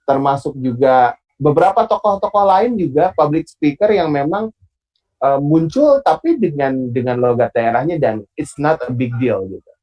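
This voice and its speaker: A man with a Sundanese accent hosting an online public speaking class, relaxed and pausing often with fillers. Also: a little high, dull audio. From Bandung, Indonesia. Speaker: host and moderator.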